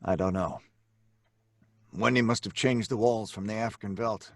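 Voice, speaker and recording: A man's voice: lowish voice, moderate pace, creaky, no room sound. An actor playing a worried father who is stern with his children, in a live audio drama. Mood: uneasy. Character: worried middle-aged father. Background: faint live music.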